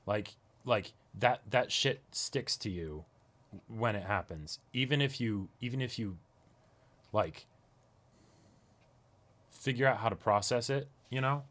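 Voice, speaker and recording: A man's voice: mid-range voice, takes it slowly, long pauses, a bit bright. A man co-hosting an anime show, talking casually and tentatively, often checking in with his listener. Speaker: co-host of an anime reaction show. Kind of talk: casual discussion.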